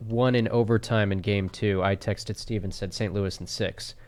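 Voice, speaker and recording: A man's voice: fairly low pitch, fairly even intonation, normal speed. A man, a podcast guest, talking sports casually with a stuffed-up, nasal voice. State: congested, nasal.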